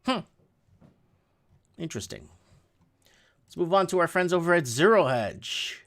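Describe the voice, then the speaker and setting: A man with a somewhat high voice hosts a livestream, reading out news headlines and rambling between them. He is a native Portuguese speaker, middle-aged, and slips sarcastic asides and restarts into his running commentary. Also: big pitch swings, measured pace, a bit bright. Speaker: livestream host.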